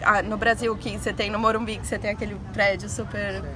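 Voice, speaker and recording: A woman's voice: mid-range voice, level tone, fast. A young woman from Brazil with a Brazilian accent, chatting informally and with slang as an interview guest. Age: young adult. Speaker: interview guest, advertising professional. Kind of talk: casual conversational interview. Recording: noisy outdoor urban street ambience.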